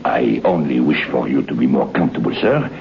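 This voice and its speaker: An actor playing a sly, deferential French head waiter with a French accent, in an old radio drama. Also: male voice, a bass voice, animated, a little fast, vocal fry, full character voice. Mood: insinuating. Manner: deferential yet cryptic, insinuating. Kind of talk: 1940s radio acting. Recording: muffled old radio transcription with hiss.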